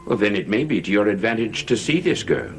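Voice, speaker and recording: An actor playing a courteous, scheming gentleman in a gothic television soap, with a suave, formal, theatrical manner. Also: lowish voice, very animated, brisk. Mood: insistent, persuasive. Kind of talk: 1970s gothic TV soap opera acting. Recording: old TV soundtrack with music underneath.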